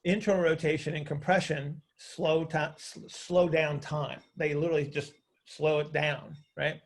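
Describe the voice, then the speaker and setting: A man's voice: on the high side, level tone, unhurried, creaky. A man coaching on a group call in a conversational, teacherly way, often checking understanding with questions and repeating phrases for emphasis.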